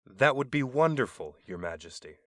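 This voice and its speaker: A man voicing an alien right-hand man who speaks formally, earnestly and with a deadpan, literal manner. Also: mid-range voice, moderate pace, no room sound, studio quality, natural voice.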